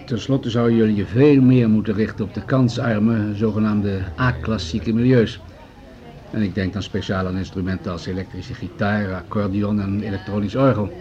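An actor playing a pragmatic, patronizing municipal official in a radio drama, speaking in measured, formal, argumentative sentences. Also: male voice, lowish voice, steady tone, mellow, dull audio, natural voice. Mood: businesslike, grave. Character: pragmatic, patronizing male municipal official pushing budget cuts. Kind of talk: Dutch radio drama acting.

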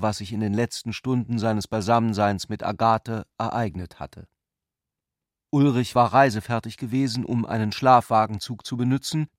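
A man narrating a literary radio play in measured, carefully phrased long sentences. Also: medium pitch, no room sound, studio quality, natural voice.

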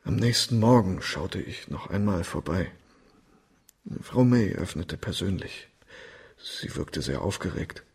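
An actor playing an accused doctor in a radio crime drama, formal, defensive and deferential. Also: male voice, sits low, animated, measured pace, a little mellow, slightly rough, exaggerated voice. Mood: humble, nervous. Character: accused doctor, male, pleading and humbly deferential.

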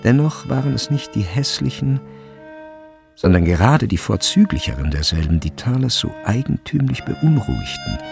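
A man narrating a literary radio drama in a measured, deliberate way, with a gravelly voice. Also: mid-range voice, very animated, darkish tone. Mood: measured, observant. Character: third-person narrator of a turn-of-the-century school story. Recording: studio with music behind. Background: instrumental music.

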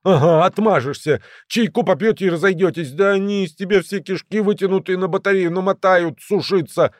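Jumpy prickly crook, voice pitched way up, snappy street slang, audiobook. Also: male voice, expressive, brisk, creaky, no echo, clean studio sound. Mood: defiant, snappish. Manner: snappish, agitated slangy patter. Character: excitable, prickly, loyal small-time crook.

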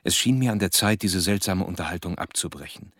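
Low, husky reflective narrator. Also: male voice, fairly bright tone, no echo, clean studio sound. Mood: reflective, concerned. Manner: measured, reflective. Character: observant, concerned gentleman narrating his diary account. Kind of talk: radio drama narration in German.

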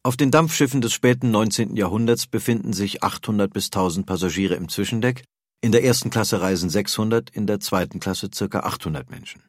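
A man's voice: fairly low pitch, brisk, no echo, clean studio sound. A man reading scripted narration for an audio history documentary.

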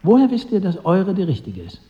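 A man's voice: high-pitched, huge pitch swings, a little fast. An actor playing a courteous, cynical adviser to a king in a radio drama, dry and deferential. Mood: probing. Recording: dull sound with hall reverb.

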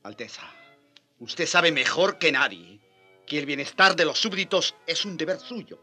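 A man's voice: a little high, expressive, measured pace, bright, forceful tone, slightly rough, faint music behind, heavily acted. An actor playing an indignant, aging Spanish friar in a radio drama, earnest, reproachful and impassioned as he pleads for justice. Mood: pleading.

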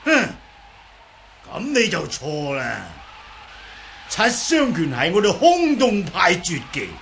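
Casting: hot-tempered older Cantonese martial master, high swooping delivery, slow and blustering, radio drama. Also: male voice, huge pitch swings, vocal fry, a bit bright, slight background noise, exaggerated voice. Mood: proud, indignant. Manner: blustering, indignant.

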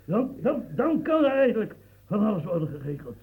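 An old, feverish statesman, gravelly and husky, stumbling over his words; tinny old radio recording. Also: sits high, big pitch swings, fairly quick, heavily acted. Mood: feverish ranting. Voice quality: gravelly, stammering. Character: aging French statesman, feverish, rambling, near death. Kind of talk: radio play acting.

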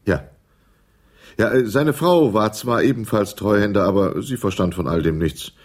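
Smooth, evasive accountant for radio crime play, male actor: low husky voice, deliberate hedging delivery. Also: lightly acted. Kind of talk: 1980s German radio crime drama dialogue.

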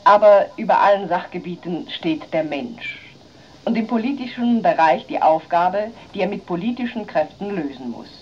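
An actress playing a sober, earnest parliamentary delegate of the late 1940s in a measured speech. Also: female voice, fairly low pitch, expressive, highs cut off, slight background noise, lightly acted. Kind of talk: acted speech from historical minutes.

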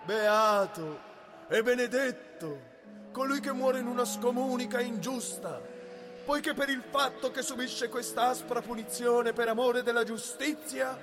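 A woman's voice: a little high, unhurried, close to an everyday voice. A woman acting a defiant preacher who solemnly declaims a thesis from the pulpit in a radio drama. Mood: solemn, resolute. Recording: loud music behind the voice.

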